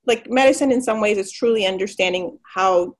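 A woman physician who grew up in Pakistan and the United States, answering interview questions with many pauses and restarts. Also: medium pitch. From Pakistan, raised in the United States. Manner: hesitant, many fillers and restarts, warms up when enthused. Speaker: physician guest.

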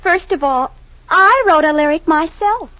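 A gushing young wife in an old radio comedy, her voice sitting very high and sugary. Her sentences trail off breathily on her husband's name. The sound is dull and lo-fi, like an old radio broadcast. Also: expressive, unhurried, bright, forceful tone, no echo, exaggerated voice. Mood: dreamy, sugary. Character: sweet dreamy young wife. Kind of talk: 1940s radio comedy acting.

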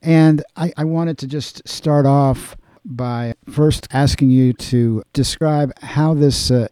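A man hosting a community radio interview show, talking in an earnest, unhurried way with some repeated words. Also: mid-range voice, warm tone, no room sound.

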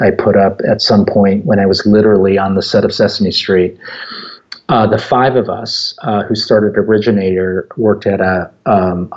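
Low-voiced American man, dull recording. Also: a little mellow. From born in Japan, grew up in the United States from age four. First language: Japanese. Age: middle-aged. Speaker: interview guest, app company founder. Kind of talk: conversational interview, anecdotal storytelling.

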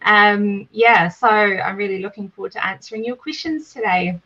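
A woman from New Zealand, a wetland ecologist, introducing herself from home in a friendly, relaxed way. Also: medium pitch, normal speed, dull audio. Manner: friendly, relaxed, some fillers. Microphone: video call.

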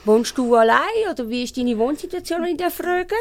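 A woman's voice: high voice, animated, brisk, no echo. A woman with a Swiss German accent hosting a conversational interview. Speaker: radio interview host.

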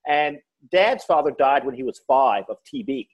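A man's voice: mid-range voice, lively intonation, moderate pace, muffled recording. A man, a comedian and author, telling joking stories in a casual interview. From Newfoundland. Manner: joking storyteller, slips into voices.

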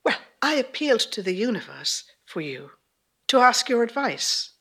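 A woman's voice: a little high, very animated, normal speed, a bit bright, breathy edge, full character voice. A woman playing an earnest, polite young Irish campaigner, frustrated and apologetic, in a theatrical storytelling performance.

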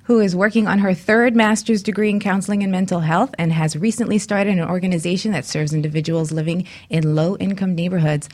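A woman's voice: fairly low pitch, steady tone, brisk, hardly any pauses, darkish tone. A woman hosting a radio talk show in a conversational style, explaining things openly.

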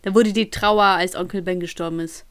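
A woman's voice: fairly low pitch, steady tone, brisk, a bit bright, clean tone. A young woman from Ukraine chatting casually as a podcast co-host, with playful, slangy banter. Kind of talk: casual chat.

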